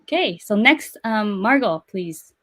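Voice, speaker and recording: A woman's voice: medium pitch, expressive, measured pace, a bit bright. A woman working in HR who moderates an online panel, introducing speakers with a friendly, filler-heavy manner.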